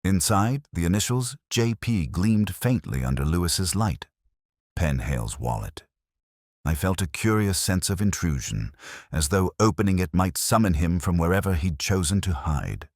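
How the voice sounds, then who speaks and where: Brooding middle-aged inspector narrating a mystery, super low voice, lots of rise and fall, measured and wry. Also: male voice, animated, a little mellow, no echo, studio quality. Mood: brooding, reflective. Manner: measured, literary, brooding, deliberate pauses. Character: melancholy, wry middle-aged male English police inspector. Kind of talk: scripted first-person detective narration.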